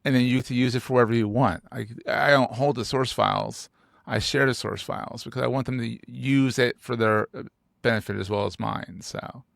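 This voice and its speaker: This is a man from Philadelphia hosting a podcast, chatting casually with a guest. His voice has a gravelly edge, and the sound is dry and close. He talks in an animated way, cracks jokes, and repeats words for emphasis. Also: mid-range voice, brisk, no echo. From United States. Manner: animated, joking, emphatic repetitions. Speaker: podcast host. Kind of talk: casual interview chat.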